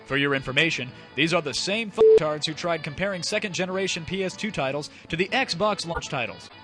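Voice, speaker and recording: Guy doing a self-important deadpan newsreader, parody breaking news, highish voice, loud music underneath. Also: fairly quick, lightly acted. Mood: solemn, self-important. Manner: formal, deadpan, stiltedly emphatic newsreader delivery. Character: pompous male news anchor reading mock breaking bulletins. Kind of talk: parody news broadcast narration. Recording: loud music bed behind voice.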